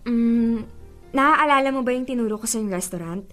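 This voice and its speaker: A woman playing an affectionate, playfully insistent young girlfriend in a radio drama, expressive and coaxing. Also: a little high, normal speed, soft background music, lightly acted. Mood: playfully pushy. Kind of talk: Filipino radio drama acting.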